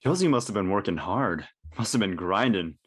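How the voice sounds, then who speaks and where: A man's voice: lowish voice, lively intonation, normal speed, fairly bright tone, breathy edge. A man acting a tired but diligent teenage boy athlete in a dramatic read, casual and slangy. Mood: teasing. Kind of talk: online dramatic table read.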